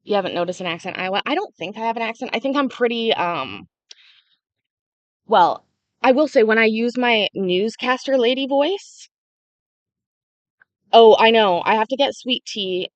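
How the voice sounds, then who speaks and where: A woman's voice: a little high, expressive, moderate pace, pauses often, no room sound, dull audio. A young woman hosting a casual livestream, chatting freely and answering viewers' comments as they come in. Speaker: livestream host.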